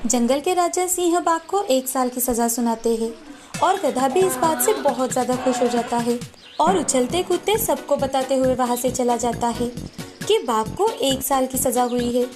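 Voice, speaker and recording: A woman's voice: high-pitched, normal speed, over music. A woman giving scripted storytelling narration of children's moral tales.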